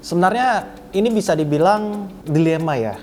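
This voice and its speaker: A man's voice: high-pitched, expressive, normal speed, darkish tone, a little hiss or hum. A man in his mid twenties giving earnest, formally phrased opinions in an interview. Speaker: business development officer being interviewed. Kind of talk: interview answers, reflective opinion.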